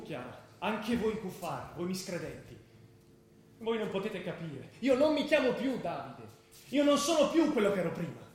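A man's voice: on the high side, heavy reverb, a little hiss or hum. An actor playing an earnest, troubled young male convert in a theatrical radio drama, solemn and defensive.

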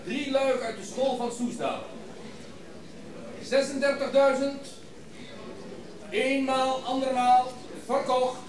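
An actor playing a formal auctioneer in a radio play, calling out bids in a measured, declamatory way. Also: male voice, extremely high pitch, fairly even intonation. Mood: formal, commanding. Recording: echoey hall from far off, crowd.

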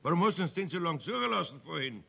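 Gruff bavarian card buddy, creaky n sharp, blunt, hot tempered, old radio play. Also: male voice, on the high side, very animated, fast, full character voice. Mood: indignant. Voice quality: gravelly, sharp. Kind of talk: 1970s radio play acting.

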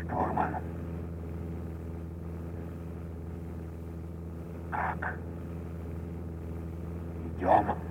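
A badly wounded male bomber commander, stubborn though spent, in a war radio play. The voice is low and gravelly, dragging out halting single words extremely slowly. A faint aircraft hum sits behind it. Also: flat delivery, full character voice. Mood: weary, questioning. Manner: halting one-word utterances. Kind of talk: audio drama acting.

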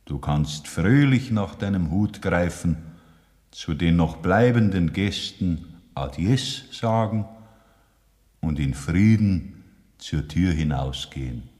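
An actor playing a solemn, sermon-like voice consoling a sinner in a 1960s radio play. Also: male voice, low voice, expressive, slow pace, warm tone, big-room sound. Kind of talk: 1960s radio drama acting.

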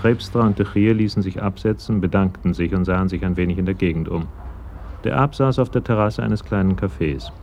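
Guy narrating a radio crime play, deep gravelly voice, faint ambience. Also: fairly quick, a little mellow, slight background noise. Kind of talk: scripted radio drama narration.

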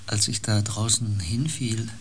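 A haunted, fearful space explorer speaks in a lowish voice. The delivery is brooding and breathy, full of intensity, in radio play style over faint ambient noise. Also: male voice, fairly even intonation, unhurried. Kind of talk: German science fiction radio play acting.

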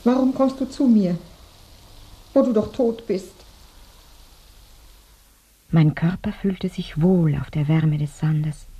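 A woman acting in a German radio play as a grieving, sensual dead woman, murmuring dreamily with a breathy half-whisper. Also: lowish voice, slow pace, pauses often, dark tone. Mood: dreamy, sensual.

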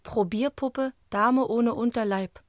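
A woman's voice: fairly high pitch, slow pace, no room sound, studio quality. A woman narrating a radio play as part of a chorus, delivering short scripted, clipped phrases.

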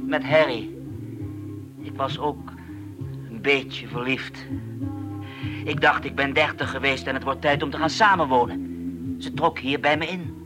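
A blunt, world-weary man played by an actor in a Dutch radio play. He has a mid-pitched voice and Netherlands accent, speaking in sardonic, clipped phrases with music clearly behind him. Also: lightly acted. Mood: reflective. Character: bitter, blunt, world-weary ex-psychiatric patient, man over thirty. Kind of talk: naturalistic Dutch radio drama dialogue.

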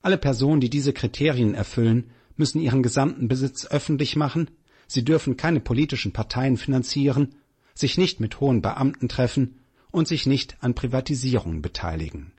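A man reading scripted documentary narration in a factual, measured way as a narrator. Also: mid-range voice, a little mellow, husky.

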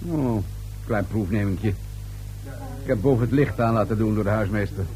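An actor playing a dryly suggestive police inspector in a radio play, with measured, insinuating questioning. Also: male voice, lowish voice, mellow, slight background noise, close to an everyday voice, poor audio. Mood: pressing.

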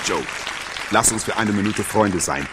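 A man's voice: fairly low pitch, moderate pace, background noise, lightly acted. An actor in a German radio play voicing an exuberant showman who rallies his friends emphatically. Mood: rallying, jovial. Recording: music and crowd behind the voice.

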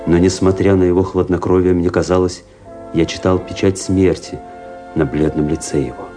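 Radio play casting: male actor as grave officer-narrator, deep voice, measured and brooding, light music underneath. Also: fairly even intonation, warm tone, natural voice. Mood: ominous. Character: grave officer recounting a fateful memory.

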